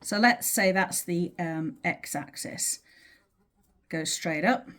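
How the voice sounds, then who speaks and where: Low-pitched woman giving an informal lesson. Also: takes it slowly, fairly bright tone. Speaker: teacher of earth energy dowsing. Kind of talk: informal explanatory lesson while drawing.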